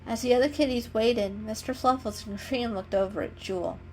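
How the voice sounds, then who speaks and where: A woman's voice: fairly high pitch, normal speed, darkish tone, slight background noise, poor audio. A woman storyteller giving a dramatic scripted reading of a children's story. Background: background music.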